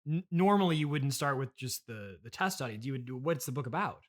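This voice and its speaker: A man hosting a comedy interview podcast, speaking in a halting, polite, easily flustered way. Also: mid-range voice, lively intonation.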